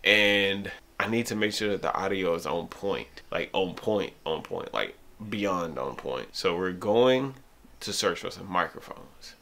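A man's voice: low voice, lively intonation, measured pace, gravelly, pushed and bright, slight background noise. A man in his thirties giving casual, emphatic advice to the camera in a vlog, repeating words for stress. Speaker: vlogger. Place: home office with bookshelves and green light. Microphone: camera mic at arm's length.

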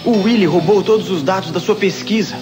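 Guy voicing a young robot hero, brazilian cartoon dub, high eager voice, brave, music behind. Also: brisk, close to an everyday voice. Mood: resolute. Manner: eager, heroic. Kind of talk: dubbed cartoon acting.

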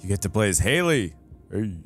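Low-voiced man chatting on a headset. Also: sing-song, faint music behind. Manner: chatty, quick asides. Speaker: reaction show co-host. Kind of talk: casual reaction chat. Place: small streaming room indoors.

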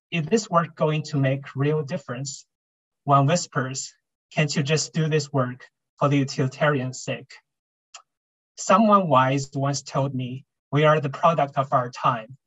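A young man giving a prepared commencement speech as a graduating doctoral student, measured and earnest, over a video call. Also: medium pitch, fairly bright tone, husky. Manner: measured, earnest delivery with repeated refrains. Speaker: graduating PhD student speaker. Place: home room on video call, virtual backdrop. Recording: dull lo-fi video call audio.

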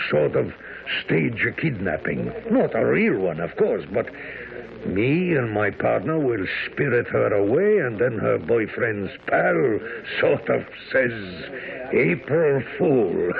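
An actor playing a sly, chummy villain who wheedles, in a radio drama. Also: male voice, medium pitch, sing-song, takes it slowly, creaky, heavily acted. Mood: coaxing. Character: sly scheming British lowlife villain recruiting thugs. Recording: muffled narrow-band old radio broadcast, crowd behind.

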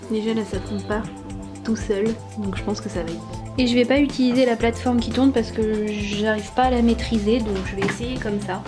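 A young woman in a casual voice-over, explaining each step of a craft toy in a relaxed way with small fillers. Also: a little high, brisk, music in the background. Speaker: toy review YouTuber.

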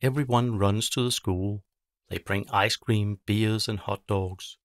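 A man's voice: fairly low pitch, steady tone, measured pace, no room sound, studio quality. A man narrating a scripted children's bedtime story, telling it simply in short phrases.

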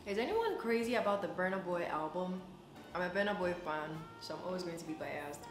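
A woman's voice: lowish voice, expressive, brisk. A woman in her twenties from Ghana chatting casually to camera as a vlogger, recorded indoors. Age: 20s. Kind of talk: casual vlog chat to camera. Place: indoor living room, kitchen and bedroom. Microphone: camera a few feet away. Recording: echoey room with music behind.

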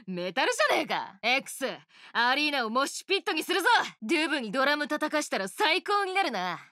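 A woman voicing a brash, swaggering female oni rock star guitarist, with rough tough-girl speech in game voice lines. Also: sits high, bright, forceful tone, dry recording, clean studio sound, heavily acted. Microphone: studio mic, dry.

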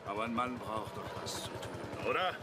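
An actor playing a chatty, coarse provincial farmer in a radio play, talking in a folksy, blunt, rambling way. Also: male voice, on the low side, normal speed, lightly acted. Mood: jovial, chatty. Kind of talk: German radio play acting. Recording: clear vehicle noise behind.

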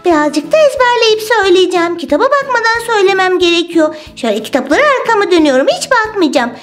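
Very high sweet sing-song woman's voice. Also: expressive, fast talker, soft background music. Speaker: toy video narrator voicing all the dolls. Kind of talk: playful toy play with children's song.

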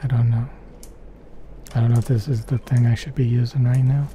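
A man giving soft-spoken ASMR gameplay commentary in a murmur, repeating pet phrases, close to the microphone. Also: medium pitch, level tone, a little fast. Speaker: video game streamer. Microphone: close stereo microphone. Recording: faint keyboard typing noise.

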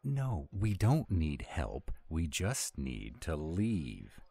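An actor playing a grumpy, anxious male were-dragon in an audio roleplay, gruff and exasperated in his drawl. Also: very deep voice, wildly swooping pitch, extremely slow, mellow, dry recording, heavily acted. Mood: panicked grumbling.

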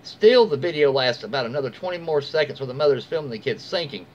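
A man's voice: mid-range voice, expressive, quick pace. An American man in his forties reads a story aloud informally, occasionally stumbling over words and correcting himself. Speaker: storyteller reading online posts aloud. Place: small room at home, indoors. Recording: dull lo-fi mic, faint background noise.

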